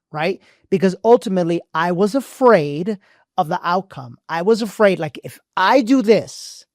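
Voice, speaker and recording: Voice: mid-range voice, dry recording, studio quality. A podcast co-host who speaks bluntly and emphatically, swears freely and uses a preachy, motivational delivery. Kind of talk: casual relationship podcast chat.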